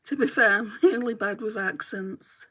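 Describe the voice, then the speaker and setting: A woman's voice: mid-range voice, normal speed, fairly bright tone. A woman with a Lancashire accent chatting casually with viewers while doing her makeup and answering their comments. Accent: Lancashire English. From Lancashire, England. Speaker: beauty streamer.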